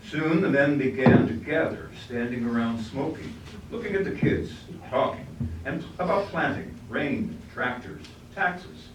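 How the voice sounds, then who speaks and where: A man playing the measured narrator of a village tale, reading scripted narration in a staged reading. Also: mid-range voice, lightly acted. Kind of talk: scripted narration in readers' theater. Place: library room during a staged reading. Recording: echoey room, distant mic, faint noise.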